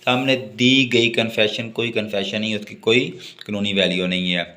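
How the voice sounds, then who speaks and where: A man's voice: on the low side, steady tone, sharp tone. A man with a Pakistani accent giving a law lecture, reading legal notes aloud in English and explaining them in Urdu. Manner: switches between slow English reading and quick Urdu explanation.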